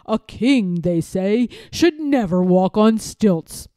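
A woman's voice: mid-range voice, animated, slow, warm tone, dry recording, studio quality. A woman voicing a scheming, bullying lord of a royal castle in a children's story, with a harsh, commanding manner. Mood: false solemnity.

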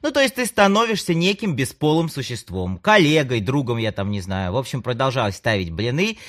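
Man with lively, swooping intonation. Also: mid-range voice, a little fast. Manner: chatty, playful, with ironic asides. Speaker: podcast host, communication coach and psychologist. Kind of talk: conversational audiobook monologue addressing the listener.

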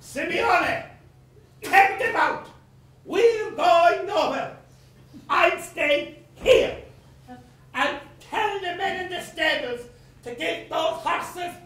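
A woman's voice: high-pitched, very animated, unhurried, fairly bright tone, full character voice. A woman playing a ranting, furious landowner. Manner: ranting, exclamatory, self-pitying outbursts. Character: blustering angry male landowner, middle-aged creditor. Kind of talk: stage play reading. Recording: roomy echo, from a distance.

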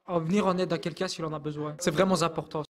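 A man's voice: on the high side, fairly even intonation, quick pace. A young man answering interview questions earnestly into a handheld mic in a youth centre room, with some fillers and restarts. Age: young adult. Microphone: handheld microphone.

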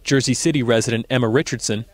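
A man narrating a scripted news report in a measured, even way. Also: medium pitch.

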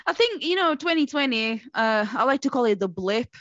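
A woman's voice: high voice, expressive, normal speed, fairly bright tone, no echo. A woman who speaks Portuguese as her first language, hosting a casual podcast interview in a chatty, upbeat way with many fillers. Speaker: podcast host. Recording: dull dry lo-fi audio.

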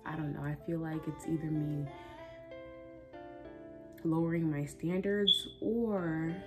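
A woman's voice: sits low, fairly even intonation, slow pace, darkish tone, faint music behind. A 20-year-old woman chatting casually and candidly in a personal vlog, rambling reflectively in a bedroom. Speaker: vlogger. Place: small bedroom, indoors.

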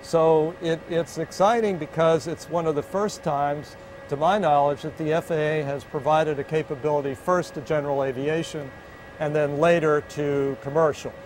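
A man's voice: fairly high pitch, steady tone, moderate pace, darkish tone, a little hiss or hum, rough recording. An American man in his sixties, an aviation official, explaining methodically to camera on a lapel mic. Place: inside an aircraft cabin.

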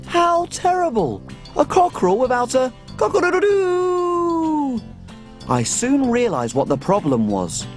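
A woman's voice: high-pitched, huge pitch swings, a little slow, over music, exaggerated voice. An actress playing a tiny, cheerful female cook who tells stories in a bubbly, sing-song children's TV manner. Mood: cheery. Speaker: little cook. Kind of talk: children's TV acting.

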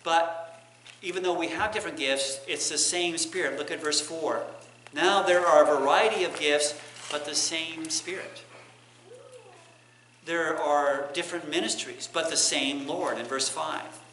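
Middle aged guy preaching a bible lesson, kinda high voice, roomy echo. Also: measured pace, sharp tone. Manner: explanatory, repeats and rephrases points. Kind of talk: teaching sermon. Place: church sanctuary, indoors. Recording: echoey church room, clear background noise.

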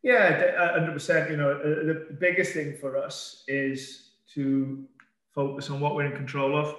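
A middle-aged man, a football manager, answering press questions calmly over a video call, with many fillers and restarts. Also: on the high side, normal speed. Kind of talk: post-match press conference. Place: indoor press room in front of a sponsor board. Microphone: webcam on a video call. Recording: echoey room.

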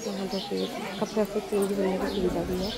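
A woman's voice: medium pitch, flat delivery, fast, a loud crowd. A woman in her thirties from the Kumaon hills, speaking Hindi with a Kumaoni accent, chatting to viewers on a phone camera held at arm's length outdoors. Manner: chatty, addresses viewers directly. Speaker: vlogger. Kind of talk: casual travel vlog narration. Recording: loud outdoor noise.

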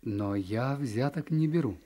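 An actor playing a kindly, honest Russian official in a radio drama. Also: male voice, mid-range voice, a little slow, warm tone, lightly acted. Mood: thoughtful.

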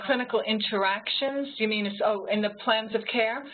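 A woman panelist answering questions informally over the phone, rephrasing haltingly as she explains. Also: on the high side. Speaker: panelist, home health professional. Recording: phone-like line with faint noise.

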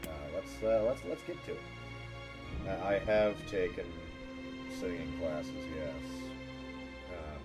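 A man's voice: deep voice, takes it slowly, long pauses, over loud music. A man chatting casually on a livestream in a playful, teasing manner, close on the mic. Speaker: streamer and voice actor.

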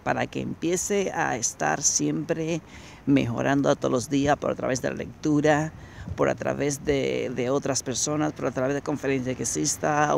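A man's voice: medium pitch, vocal fry. A man in his thirties giving a motivational talk to a phone camera as he walks along a city promenade at night, repeating his points and speaking straight to the viewer. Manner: preachy, repetitive, addresses viewer directly. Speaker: motivational coach. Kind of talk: walking vlog monologue. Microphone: phone camera held while walking. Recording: noisy outdoor phone recording. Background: street ambience and passing traffic.

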